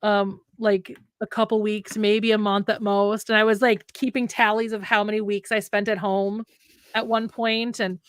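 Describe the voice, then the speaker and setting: Female, late 30s, mid-pitched. Reflective, meandering casual talker who circles back over phrases. Dry sound. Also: level tone, moderate pace, smooth, no room sound. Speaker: writer co-hosting a live stream. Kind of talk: casual chat.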